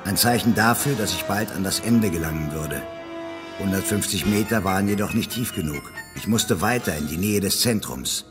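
A man playing a calm, confident space-sheriff leader in a German sci-fi audio drama, composed and authoritative. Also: low voice, normal speed, reverberant, big-room sound, music in the background, close to an everyday voice. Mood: reflective. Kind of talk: German sci-fi audio drama, dialogue and first-person narration.

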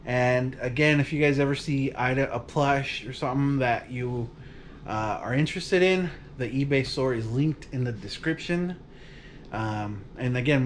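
A man in his thirties talks loosely to the camera from his couch at home, in a middle-pitched voice. He rambles and laughs as he wraps up a trip. The sound is picked up by a camera across the living room. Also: a little hiss or hum. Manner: relaxed, rambling, laughs often. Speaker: vlog host. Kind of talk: casual chat to camera.

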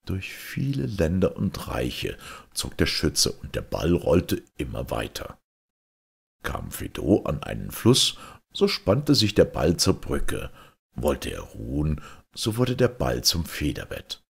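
A man's voice: rumbling low, huge pitch swings, slow pace, vocal fry, breathy edge. A man reading a fairy tale aloud as a storyteller.

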